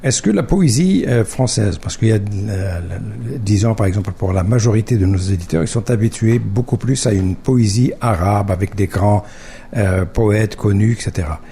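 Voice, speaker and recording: Male radio host, hesitant and full of fillers, deep dark tone. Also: normal speed, gravelly, slight background noise. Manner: hesitant, many restarts and fillers. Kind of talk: radio interview. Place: radio studio.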